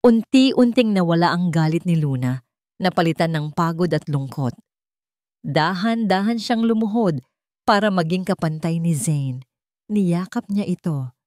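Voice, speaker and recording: A woman's voice: lowish voice, expressive, measured pace, a little mellow, no room sound, clean studio sound. A woman storyteller reading scripted drama narration in a solemn, dramatic manner.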